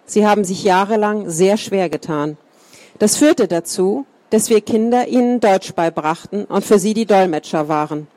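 Woman reader, middle pitch, calm measured delivery, dry close sound. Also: no echo. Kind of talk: reading a first-person account aloud.

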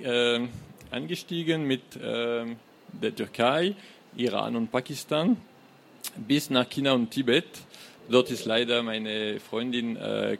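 French guy speaking german, gravelly, lots of uh and um, mid pitch. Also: slight background noise. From France. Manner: hesitant, many filler sounds. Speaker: guest author. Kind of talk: live book reading talk. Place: fair hall stage.

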